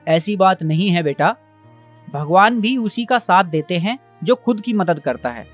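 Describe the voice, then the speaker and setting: A man's voice: high-pitched, lively intonation, unhurried. Man playing a stern old village father. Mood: firm, advising. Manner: admonishing, moralizing. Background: background music.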